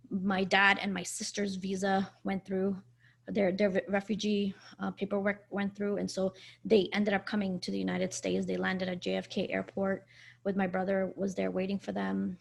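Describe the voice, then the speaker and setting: Afghan-born woman, candid and a little gravelly. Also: mid-range voice. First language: Farsi. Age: 40s. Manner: candid, conversational, with frequent fillers and self-corrections. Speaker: guest sharing her life story. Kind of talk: personal storytelling interview.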